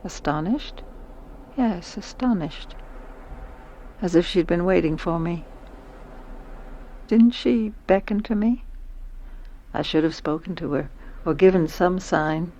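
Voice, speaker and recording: A woman's voice: fairly low pitch, takes it slowly, long pauses, mellow, muffled recording. An actress playing an introspective, wondering middle-aged woman who narrates her own story in a radio play, reflective and self-questioning. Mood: wondering, uneasy.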